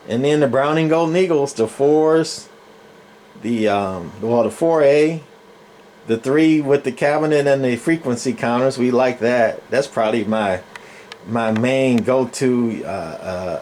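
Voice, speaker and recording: A man giving a casual, unscripted show-and-tell as a collector, rambling and often pausing to search for words. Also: medium pitch, darkish tone, a little hiss or hum. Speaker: radio collector and channel host.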